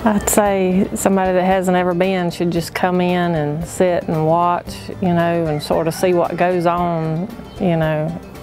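A woman with a Southern American accent speaks in an unadorned interview style. Her voice sits fairly low and moves little in pitch, with music clearly playing behind her. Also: little pitch movement, measured pace, hardly any pauses, a little mellow, poor audio. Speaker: family auction worker. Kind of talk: documentary interview. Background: background music.

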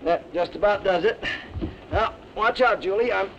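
A man's voice: high-pitched, moderate pace, a bit bright, lo-fi sound. An actor playing a cheerful young man who jokes his way through a mix-up on a sleeper train. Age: young adult. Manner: cheerful, joking. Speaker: train passenger. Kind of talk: 1950s television drama acting. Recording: hissy 1950s film soundtrack.

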